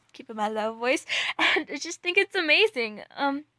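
Very high, bright-voiced young woman, gushing. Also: a little slow, dry recording, clean studio sound. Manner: gushing, excitable, giggly. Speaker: amateur voice actress introducing a role. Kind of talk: casual promotional chat to viewers.

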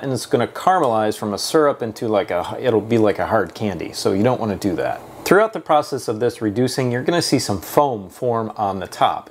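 A man's voice: mid-range voice, darkish tone. A man, hosting a homesteading how-to vlog, explaining each step steadily to camera on a lapel mic. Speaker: homesteading channel host. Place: home workshop barn, then a kitchen, indoors. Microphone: clip-on lapel mic.

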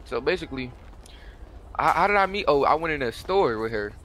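A man's voice: on the high side, lively intonation, a little fast, bright, forceful tone, slight background noise. An American man in casual, argumentative game role-play, talking brashly in slang.

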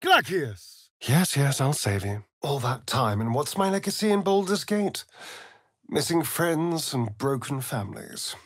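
Flirty sardonic british vampire rogue from a fantasy game, slow arch drawl, super dry close sound. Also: male voice, medium pitch, lively intonation, no echo. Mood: incanting, then exasperated. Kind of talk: theatrical video game character acting.

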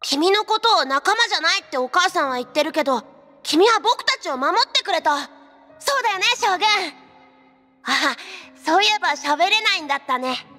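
A tiny, trusting village boy for a game, extremely high and bright. Long reverb surrounds the voice, with music beneath. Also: lively intonation, normal speed, full character voice. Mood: innocent, curious. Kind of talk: Japanese game voice acting.

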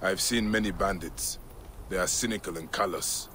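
A low-voiced, grave lawman warrior of ancient Egypt. He speaks plainly and resolutely, sworn to protect and avenge. Faint ambience sits behind the voice. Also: moderate pace, sharp tone, slight background noise. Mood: determined. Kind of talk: video game cutscene acting.

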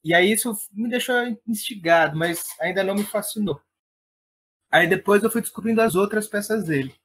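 Higher-pitched São man, chatting enthusiastically. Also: fairly bright tone, breathy edge. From Brazil. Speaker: guest, playwright and theatre director. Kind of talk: casual interview talk.

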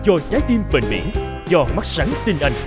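A man's voice: fairly high pitch, sing-song, moderate pace. A man reading a scripted commercial as an announcer. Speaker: advert announcer. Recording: music behind the voice.

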